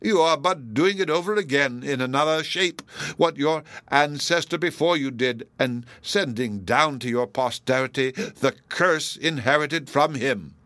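A man voicing an anguished older gentlewoman in a classic novel audiobook, pleading mournfully and earnestly with drawn-out emphasis. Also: mid-range voice, no echo, voice pushed up, lightly acted. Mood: lamenting.

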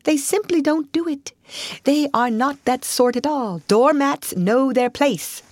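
A woman's voice: mid-range voice, big pitch swings, unhurried, dry recording, voice pushed up. A woman voicing a grumbling, plaintive male mole with a stubbornly literal, pettish manner in a storybook. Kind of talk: storybook character voice.

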